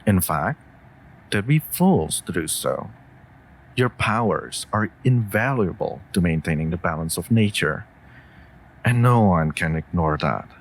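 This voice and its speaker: A man voicing a calm, loyal wolf familiar with dry humour in a fantasy audio drama, talking in a measured, wry, reassuring way. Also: deep voice, full character voice. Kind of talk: scripted roleplay audio drama addressed to listener.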